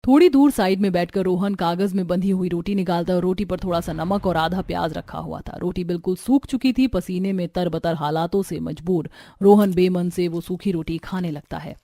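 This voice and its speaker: A woman's voice: on the low side, normal speed, few pauses, warm tone. A woman reading scripted story narration as the narrator.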